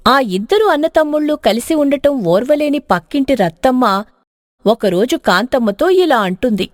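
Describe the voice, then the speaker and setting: A woman's voice: high voice, animated, moderate pace, dry recording, studio quality. A woman narrating a scripted moral story as a storyteller.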